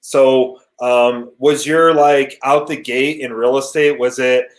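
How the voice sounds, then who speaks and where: A man's voice: medium pitch, steady tone, slow pace. A man hosting an interview, upbeat and encouraging in casual chat. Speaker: podcast host. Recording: echoey room.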